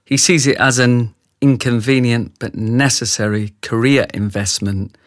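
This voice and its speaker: Man acting rough ex-soldier narrator in a radio play, mid-pitched, talks right at you, very dry sound. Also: fairly even intonation, a little slow, dry recording, lightly acted. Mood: grave, confiding. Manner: grave, confiding, addresses the listener directly. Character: remorseful thick brute ex-soldier, male confessional narrator.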